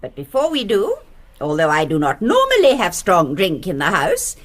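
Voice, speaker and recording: An actress playing an elderly, genteel gang mastermind in a radio drama, prim, precise and commanding. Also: female voice, on the low side, huge pitch swings, moderate pace. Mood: genteel, composed. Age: older.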